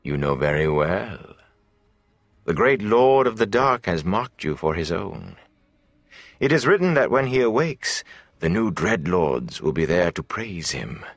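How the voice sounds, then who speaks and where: A man voicing a sleek, self-important, menacing servant of a dark lord in an audiobook, drawing out words to coax and command. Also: deep voice, big pitch swings, no echo, heavily acted. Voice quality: sleek, thick. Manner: drawn-out, coaxing then commanding. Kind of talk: audiobook villain dialogue.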